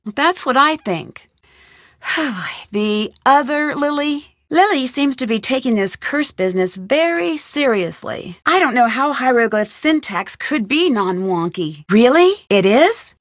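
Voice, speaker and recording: A woman's voice: on the high side, fairly bright tone, dry recording, studio quality. An actress voicing a curious young woman detective, asking polite, inquisitive questions in recorded game lines.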